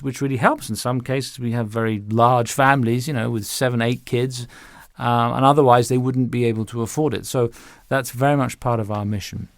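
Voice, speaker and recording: Halting man interviewed, dry close sound. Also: medium pitch, gravelly, dry recording. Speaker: music director, interview guest. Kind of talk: conversational interview answers.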